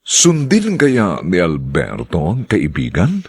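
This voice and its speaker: A man's voice: sits low, wildly swooping pitch, slow pace, a little mellow, husky, no echo. A man narrating and announcing a radio drama in a solemn, formal, theatrical style.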